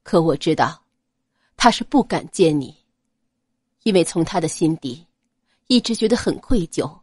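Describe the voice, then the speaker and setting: A woman voicing a cold, restrained young nobleman in an audiobook, quiet, grave and measured. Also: on the low side, expressive, dry recording, studio quality, pitched below the performer's own voice. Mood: solemn, cold. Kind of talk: dramatized audiobook character acting.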